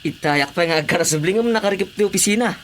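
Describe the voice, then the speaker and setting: A woman's voice: on the low side, quick pace, a little hiss or hum, lightly acted. An actress with a Filipino accent playing a complaining village woman in a radio comedy drama.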